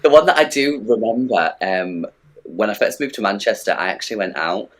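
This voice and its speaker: Guest: young camp man, low-pitched, chatty, keeps asking "you know what I mean". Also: lively intonation, brisk. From northeast England. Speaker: guest, drag performer. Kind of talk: casual interview chat.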